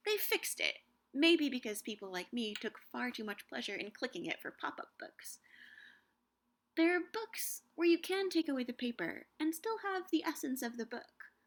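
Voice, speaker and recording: A young woman giving a scripted, measured talk on Shakespeare and books, slowing down to savor quoted lines. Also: high voice, animated. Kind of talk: scripted educational narration.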